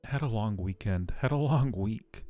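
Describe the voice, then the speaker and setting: A man's voice: fairly low pitch, expressive, moderate pace, warm tone, faint music behind. A man chatting casually and playfully with viewers on a livestream, teasing them affectionately. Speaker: live streamer hosting a chat.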